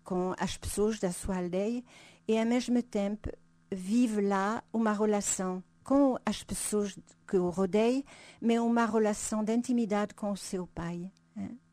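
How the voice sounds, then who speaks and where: Slow, thoughtful woman. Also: medium pitch, mellow, no echo. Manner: thoughtful, searching for words, frequent "hein?" tags. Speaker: religious sister, radio guest. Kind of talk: reflective conversational explanation.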